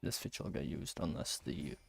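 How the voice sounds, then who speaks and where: A man's voice: a bass voice, lively intonation, brisk, creaky, slightly rough, dry recording. A man giving casual, offhand gameplay commentary, half muttering to himself as he plays. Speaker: video game player commenting on gameplay.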